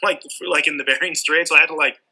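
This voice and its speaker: A man's voice: on the high side, fast, pushed and bright. A man from Southern California, a sculptor and art teacher, chatting casually in an interview and rambling with lots of "like" and restarts. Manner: rambling, many likes and restarts.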